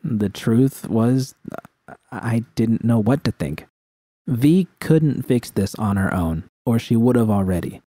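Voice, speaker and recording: A man's voice: mid-range voice, lively intonation, slow pace, warm tone, dry recording. A man reading a first-person dramatic story as its narrator. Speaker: narrator reading fan fiction.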